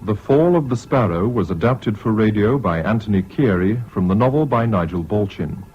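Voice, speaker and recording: A man with a British accent, a radio announcer formally reading cast credits in a measured way. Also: on the low side, expressive, darkish tone. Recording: muffled lo-fi old radio recording.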